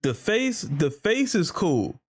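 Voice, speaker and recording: A man's voice: sits high, big pitch swings, takes it slowly, music in the background. A man hosting a reaction video, chatting casually and informally.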